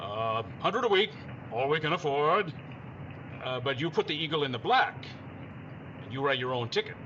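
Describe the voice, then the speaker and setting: A man's voice: on the high side, lively intonation, normal speed, a bit bright. Guy doing a absent-minded paper owner, easygoing mumbly hemming, radio play, dull lo-fi sound w/ noise behind. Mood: distracted, agreeable. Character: dithering, golf-mad male newspaper owner, easygoing. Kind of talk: 1950s radio drama acting. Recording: dull band-limited sound, noisy background.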